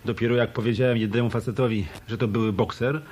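An actor playing a shrewd hard-boiled detective in a radio drama, speaking in a dry, deliberate, probing way. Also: male voice, medium pitch, fairly even intonation, darkish tone, husky, natural voice. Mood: casual. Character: shrewd hard-boiled male hotel detective turned private eye. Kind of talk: Polish radio drama dialogue.

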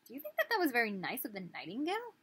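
A woman's voice: on the high side, wildly swooping pitch, racing, fairly bright tone, slightly rough, dry recording. A woman in her thirties reading a picture book aloud as a teacher, expressively, putting questions to small children. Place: small living room, indoors.

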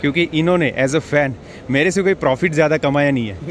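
A young man vlogging with a handheld camera at an outdoor cricket stadium, chatting excitedly to his viewers. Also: fairly high pitch, quick pace. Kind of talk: casual walking vlog. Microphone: handheld action camera. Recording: noisy outdoor crowd ambience.

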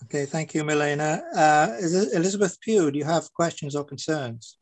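A man's voice: fairly high pitch, brisk. Guy chairing a video meeting, calling names and asking for questions. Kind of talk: calling on members in an online meeting.

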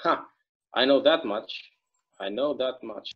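A folksy small-town bartender played by a young man at a table read, chatty and given to rambling storytelling. His pitch sits mid-range for a man. The sound is a slightly dull, lo-fi video call. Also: slow pace, natural voice. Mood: easygoing. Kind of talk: online table read of a stage play. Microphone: video call microphone.

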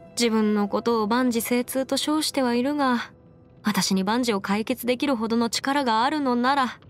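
A woman's voice: a little high, natural voice. A woman voicing a confident, bookish young female legal expert in a video game, speaking in a self-assured, formal, lecturing way. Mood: earnest. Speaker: video game character voice actor. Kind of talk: scripted anime-style game character monologue.

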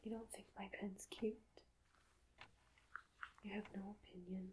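A woman playing a doctor in an ASMR roleplay, speaking softly and mostly in whispers, with gentle questions and long pauses, close to the microphone. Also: medium pitch, flat delivery, noisy background.

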